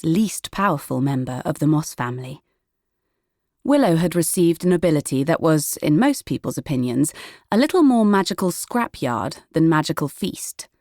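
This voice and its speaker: A woman narrating a children's story in a wry, measured way. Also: sits low, darkish tone, no echo, studio quality. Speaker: audiobook narrator.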